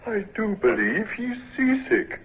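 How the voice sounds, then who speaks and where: Brief: a jeering naval cadet, high and sing-song, slow and mocking, hissy vintage radio. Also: male voice, huge pitch swings, full character voice. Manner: taunting, mocking. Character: sneering young male midshipman, mocking bully. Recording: lo-fi old radio broadcast with hiss.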